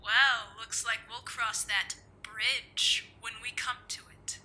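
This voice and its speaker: A woman plays a cocky male villain with a high voice and a slow, taunting delivery. He boasts and swears with hammy relish, like the self-declared greatest criminal of a comedy audio play. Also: steady tone, pushed and bright, full character voice. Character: cocky male serial jaywalker villain, taunting and foul-mouthed.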